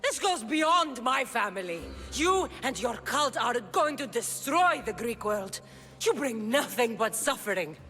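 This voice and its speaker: An actress voicing a fierce, defiant Greek mercenary heroine in a video game, speaking firmly and intensely. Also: female voice, on the high side, big pitch swings, unhurried, bright, forceful tone, soft background music, heavily acted. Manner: firm, intense, determined. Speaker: game protagonist. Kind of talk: video game cutscene acting.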